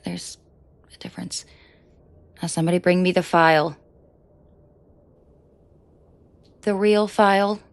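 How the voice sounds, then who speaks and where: A woman playing a menacing, protective and flirtatious mafia boss in an intimate audio roleplay, with a breathy, husky voice and dry sarcasm. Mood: commanding, irritated. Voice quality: breathy, husky low voice with vocal fry. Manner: slow, deliberate, dryly sarcastic, often dropping to a murmur. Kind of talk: intimate audio roleplay acting addressed to the listener.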